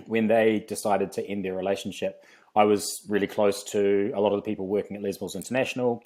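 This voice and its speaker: A man's voice: fairly low pitch, darkish tone. A man from New Zealand with a New Zealand accent, a guest on a podcast, telling his career story in a chatty way, with frequent ums and easy laughs. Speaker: podcast guest, fitness company founder. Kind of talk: relaxed podcast interview.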